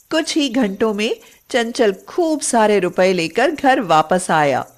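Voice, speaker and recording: A woman narrating a scripted moral story in an expressive, deliberate storytelling manner. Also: mid-range voice.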